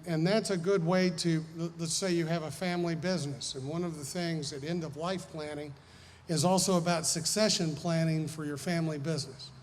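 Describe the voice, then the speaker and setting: Southern man lecturing in an echoey hall. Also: on the high side. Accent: Southern American. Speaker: lawyer giving a seminar talk. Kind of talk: informal lecture with audience questions. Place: large hall, speaking to an audience. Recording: echoey hall, noisy background.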